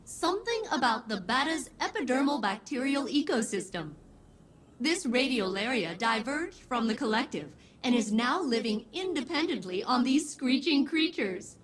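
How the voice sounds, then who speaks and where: A woman's voice: high-pitched, very animated, moderate pace, a little hiss or hum. A woman voicing a cheerful, helpful artificial intelligence in a video game, precise and articulate. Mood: informative.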